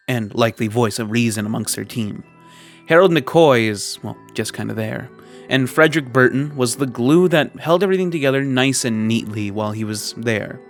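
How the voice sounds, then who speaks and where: A man hosting a video essay, reading scripted commentary in a measured, wry way and stretching words for emphasis. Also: mid-range voice. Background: background music.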